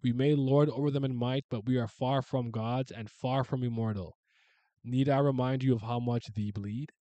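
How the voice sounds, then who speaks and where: A man's voice: medium pitch, steady tone, dark tone, no room sound, highs cut off, natural voice. A man putting on a grand, haughty god. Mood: stern. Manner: archaic, grand, slow, declaiming. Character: proud quarrelling male god brothers. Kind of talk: reading a comic aloud in voices.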